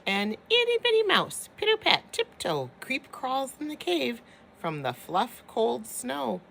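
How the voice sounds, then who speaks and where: A woman's voice: high voice, sing-song, unhurried, creaky, a bit bright, slight background noise. A woman teacher reading a children's picture book aloud, expressively, drawing out phrases and speaking directly to young listeners.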